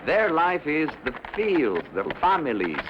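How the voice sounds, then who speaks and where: A man playing a gentle, philosophical villager in a Western drama, speaking in measured, formal, earnest phrases. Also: a little high, very animated. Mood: earnest, reflective. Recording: muffled lo-fi, loud background noise.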